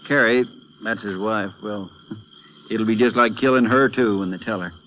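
An actor playing a kindly, homespun small-town Texas sheriff, sympathetic and conversational, in a 1950s western radio drama. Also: male voice, on the low side, normal speed, phone-quality sound, natural voice. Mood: saddened, fond. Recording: noisy old radio broadcast recording.

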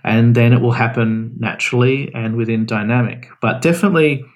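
Frank, relaxed male podcast host. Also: medium pitch, a little fast. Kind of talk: casual advice chat.

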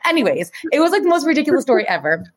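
A woman co-hosting a podcast, chatting casually and telling stories in an animated, informal way with plenty of filler "like" and some swearing. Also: sits high, racing. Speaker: podcast co-host. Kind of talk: casual chat and storytelling.

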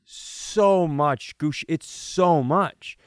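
A man with an American accent and a somewhat high voice tells stories on a comedy podcast. He rants with comic exasperation and acts out what people say. The sound is dry, with no room echo. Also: very slow, clear, resonant tone. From Minnesota, United States. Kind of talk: casual comedic storytelling.

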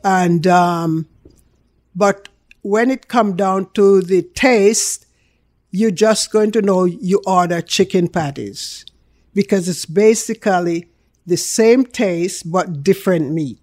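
A woman's voice: lowish voice, takes it slowly, a little mellow, no room sound. Older Jamaican-accented woman, dry close sound. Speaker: interview guest, small food business owner. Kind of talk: casual radio interview.

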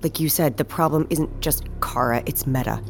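Low-voiced, blunt skeptical woman. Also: moderate pace, slight background noise. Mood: wary, skeptical. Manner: blunt, casual, challenging. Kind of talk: audio drama acting.

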